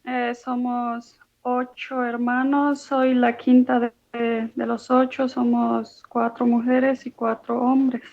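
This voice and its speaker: A woman from Guatemala calling in by phone to give her personal testimony, speaking slowly and hesitantly. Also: on the high side, gravelly. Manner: slow, hesitant, flat. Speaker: caller giving testimony. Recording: dull lo-fi phone line.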